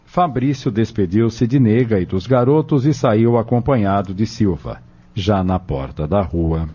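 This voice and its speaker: A man's voice: fairly low pitch, lively intonation, unhurried, mellow, breathy edge. A Brazilian man narrating an audio drama from a script. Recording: dull lo-fi audio with music behind. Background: music.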